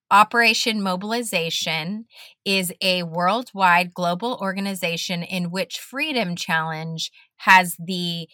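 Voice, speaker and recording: Effusive woman podcast host, unhurried delivery, dry close sound. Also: lowish voice, sharp tone, dry recording. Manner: effusive, praising, drawn-out phrasing. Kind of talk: podcast interview hosting.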